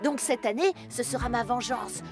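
A woman's voice: fairly high pitch, moderate pace, music in the background, close to an everyday voice. A woman voicing a mischievous young boy in a cartoon who boasts about his scheme. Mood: scheming. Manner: sly, boastful.

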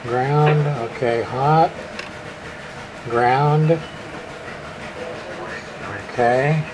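Slow-talking man, halting and noisy recording. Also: mid-range voice, fairly even intonation. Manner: hesitant, halting, muttering to himself. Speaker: hobbyist radio repair presenter. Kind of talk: casual hands-on demonstration, thinking aloud. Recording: clear background room noise.

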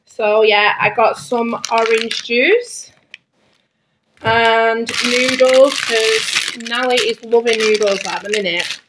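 A woman's voice: fairly high pitch, steady tone, slow. A British woman chatting casually and informally as a family vlogger, often repeating words for emphasis, recorded indoors at home. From Britain. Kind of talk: casual grocery haul chat to camera. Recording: clear background noise, packaging crinkling. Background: crinkling packets, clicks, handling noise.

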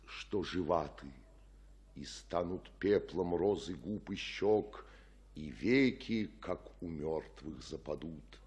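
An actor playing an older, fatherly friar in a radio tragedy, speaking in a husky, measured, solemn declamation. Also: low-pitched, darkish tone, full character voice. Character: grave fatherly friar counselling a desperate girl. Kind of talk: Russian radio play acting of classical tragedy.

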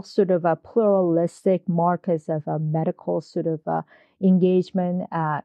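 Woman academic guest, mellow and low, hedging and restarting, muffled lo-fi sound. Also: dry recording. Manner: hesitant, many fillers and restarts. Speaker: guest scholar, history professor. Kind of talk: academic interview answers.

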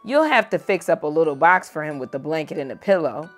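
A young woman reading a picture book aloud to a child at home, gentle and expressive. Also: low-pitched, fast talker, faint music behind. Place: home bedroom, indoors.

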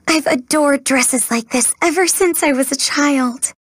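A woman playing a gentle, romantic young bride princess in a video game, with an earnest, dreamy manner. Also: sits high, slow pace, dry recording. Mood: tender. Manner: earnest, sweet, wistful. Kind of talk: video game character acting.

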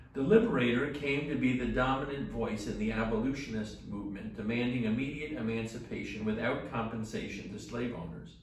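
Older man, church rector, measured liturgical reading, distant mic, heavy room echo. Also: medium pitch. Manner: measured, steady reading from a book. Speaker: rector leading morning prayer. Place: small church chapel before an altar. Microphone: camera at a distance. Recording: very echoey room, voice from afar.

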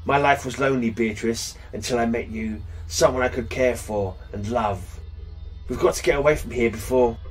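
A man's voice: mid-range voice, moderate pace, soft background music, natural voice. A man voicing a lonely, cursed man who drains life from anything he touches, speaking in a brooding, mournful way. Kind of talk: comic book reading.

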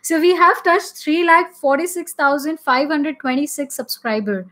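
A young woman with a high voice and an Indian accent. She co-hosts an online English lesson, speaking casually to viewers from a small room. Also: expressive, moderate pace, fairly bright tone. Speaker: online English teacher and live stream co-host. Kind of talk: casual live class chat with viewers.

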